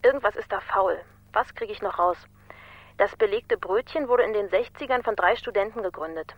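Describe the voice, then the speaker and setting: An actress in a German radio crime drama playing an informal, wry research colleague who reports in a matter-of-fact way. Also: female voice, medium pitch, normal speed, dry recording, close to an everyday voice. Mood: wry, informative. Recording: dull, dry telephone-like sound.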